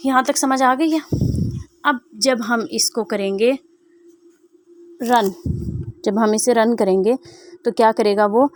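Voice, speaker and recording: A woman teaching programming in a screen-recorded tutorial, reading code output slowly word by word and asking rhetorical questions. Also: on the high side. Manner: rhetorical questions, frequent "ठीक है" check-ins. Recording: faint background noise.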